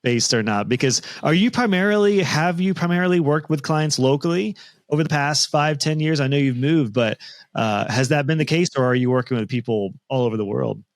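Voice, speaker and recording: A man's voice: fairly high pitch, no echo. A man in his forties hosting a podcast, chatting curiously with a guest over a studio mic from a home studio. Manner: curious, chatty, quick asides. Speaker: podcast host. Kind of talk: casual interview chat. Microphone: studio mic on boom arm.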